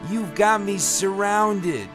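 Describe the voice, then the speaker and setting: Smug rich man, nervously high. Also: slow, music in the background. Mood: nervous bravado. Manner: smug, quivering nervous chuckle. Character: cocky rich male donor, nervously mocking. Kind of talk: comic-book audio drama acting.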